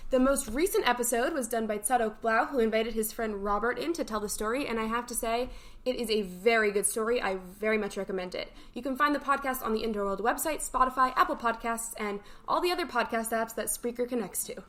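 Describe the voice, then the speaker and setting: A woman's voice: a little high, fast, fairly bright tone. A young woman co-hosting a camp morning show, reading announcements and chatting lightly.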